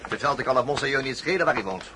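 An actor playing a pompous, excitable manservant in a radio drama, deferential to his masters but quarrelsome. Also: medium pitch, very fast, background noise, close to an everyday voice. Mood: proud, deferential. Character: Fernand, proud, fussy, quarrelsome male manservant.

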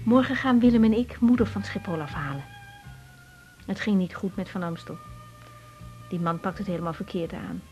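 A woman's voice: mid-range voice, normal speed, long pauses, muffled recording, over music, lightly acted. An actress playing a naive, confiding young woman doctor with emotional delivery in a 1980s radio drama, with a Netherlands Dutch accent. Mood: reflective, troubled.

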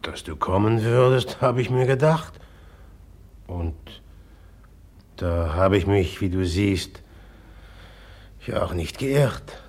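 A brooding, guarded young man in an old radio drama. He talks slowly and hesitantly in a husky voice. His deflections come with uneasy laughs. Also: fairly low pitch, expressive, a little mellow. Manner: hesitant and evasive, with nervous laughs. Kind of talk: 1950s radio drama acting.

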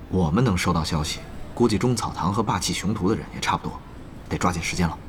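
A man's voice: low-pitched, a little fast, a little hiss or hum, lightly acted. A young man playing a brash, wily gamer guild leader bantering with rivals in an audio drama. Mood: businesslike. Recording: music under the dialogue.

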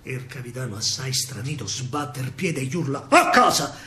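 A man with a Roman accent telling a story in dramatic dialect verse, swinging between hushed and loud. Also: mid-range voice, brisk. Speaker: stage storyteller, actor. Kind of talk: theatrical verse monologue in dialect. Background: faint live music.

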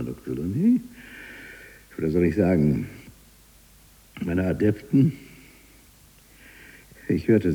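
A husky, very low-voiced man holds forth as a jailed freethinking writer in a radio drama. He is eloquent, taunting and obsessive, moving between murmur and declamation. The sound rings with long hall reverb. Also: mellow, full character voice. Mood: mocking, taunting. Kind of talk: theatrical radio drama monologue.

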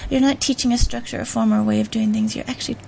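A woman from Canada speaks in a radio interview about her writing, pausing and restarting as she thinks. Her voice carries a gravelly fry. A faint ambient hiss sits behind her. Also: on the high side, steady tone, dark tone. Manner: thoughtful, hesitant with many restarts and ums. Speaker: author guest.

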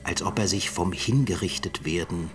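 A man's voice: low voice, moderate pace, natural voice. An actor playing a grave male scholar reading his own essay in a radio drama, formal and rhetorical. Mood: grave, reflective.

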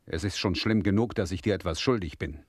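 A proud, penniless peasant father in a radio play. The voice is deep and rough-husky, bitter and stubborn, with a very dry studio sound. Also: fairly quick, dry recording. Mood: bitter, defiant. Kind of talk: German radio drama acting.

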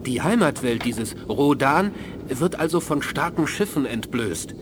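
An actor playing a ruthless reptilian alien warlord in a radio play, barking orders imperiously. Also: male voice, medium pitch, lively intonation, unhurried, background noise, natural voice. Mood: cold, scornful. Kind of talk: 1980s German radio play acting.

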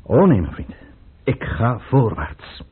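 An actor playing a scheming, controlled count and royal adviser with a measured, formal manner in a radio play. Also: male voice, medium pitch, sing-song, slightly rough, heavily acted. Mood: resolute. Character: scheming, controlled male count advising the tsar, secretly tormented. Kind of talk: Dutch radio play acting. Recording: tinny, muffled old radio play recording.

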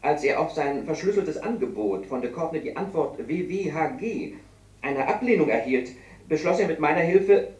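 An actor playing an anxious, cornered conspirator in a science fiction radio drama, agitated and defensive. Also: male voice, on the high side, animated, moderate pace, a little mellow, natural voice. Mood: desperate, bargaining. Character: cornered, anxious male conspirator bargaining for himself. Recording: echoey room, distant from the mic.

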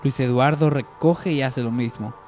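A man's voice: medium pitch, normal speed, a little mellow. Male radio soap narrator, music behind.

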